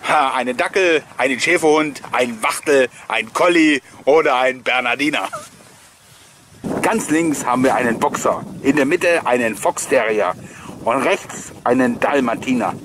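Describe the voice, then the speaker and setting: A man gives an informal voice-over in the manner of a host sharing memories with his viewers. He rambles amiably through anecdotes at a middle pitch and tends to chuckle. There is some audible background noise behind him. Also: normal speed. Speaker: host of a collectors' nostalgia video channel. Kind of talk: chatty voice-over commentary with personal anecdotes.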